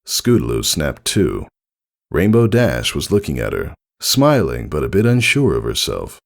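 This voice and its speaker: Low gravelly guy reading a story aloud, really expressive narrator. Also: unhurried. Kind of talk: scripted story narration, fan fiction audiobook reading.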